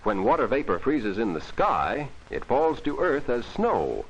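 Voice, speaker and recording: Man, vintage 1940s film soundtrack, children's educational narration, very animated swooping pitch, instructive, simple explanations. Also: measured pace, creaky, husky, lo-fi sound.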